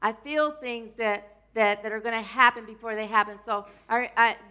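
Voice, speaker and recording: A woman minister giving a loose, chatty sermon to her congregation. Her voice is scratchy today and she keeps clearing her throat, and she tends to wander off topic and joke along the way. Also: fairly high pitch, steady tone, normal speed, sharp tone. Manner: rambling, playful, drifts off topic mid-sentence. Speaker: minister of a church.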